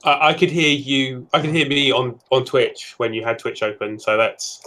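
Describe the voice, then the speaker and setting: Loud, chatty man in casual talk. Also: mid-range voice, little pitch movement, normal speed, a little hiss or hum. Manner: loud voice, chatty, laughs easily. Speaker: stream co-host. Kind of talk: casual chat.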